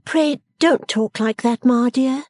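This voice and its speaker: Woman as a spirited, high-voiced young woman. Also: darkish tone, dry recording, studio quality. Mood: gentle protest. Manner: brisk, coaxing, decided.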